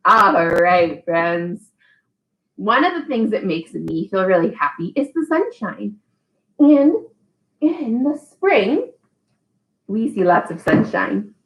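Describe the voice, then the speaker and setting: A woman teacher leading a storytime for young children in an animated, emphatic manner, reading aloud to them. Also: mid-range voice. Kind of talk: children's read-aloud and singalong. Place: indoors at home. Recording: echoey room.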